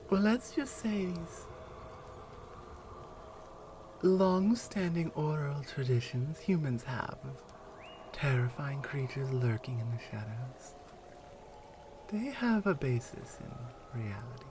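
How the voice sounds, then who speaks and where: A woman playing a stern, proud Amazonian warrior with a captive, in a taunting, deliberate ASMR roleplay. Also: very deep voice, background noise, full character voice. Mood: ominous. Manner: taunting, deliberate, hushed at times.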